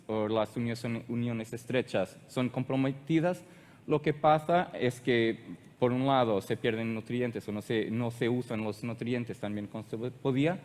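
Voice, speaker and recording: Portuguese-accented male presenter, halting non-native delivery, echoing hall sound. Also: medium pitch, darkish tone. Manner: halting, searches for words and restarts often. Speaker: conference speaker. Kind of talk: technical conference presentation.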